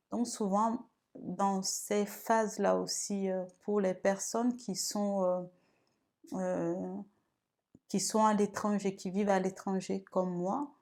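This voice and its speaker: Female wellbeing vlogger, creaky and mellow, thoughtful, hesitant advice-giving. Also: medium pitch. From Togo. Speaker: video host and women's wellbeing guide. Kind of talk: advice vlog talk.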